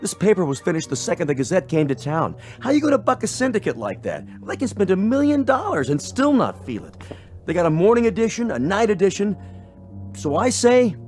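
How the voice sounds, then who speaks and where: Working-class newspaperman, swinging pitch. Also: big pitch swings, brisk, a little mellow, soft background music. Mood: agitated, defensive. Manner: folksy, plain-spoken working man. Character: nervous, loyal male newspaper typesetter turned defiant, working-class. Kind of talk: radio drama acting.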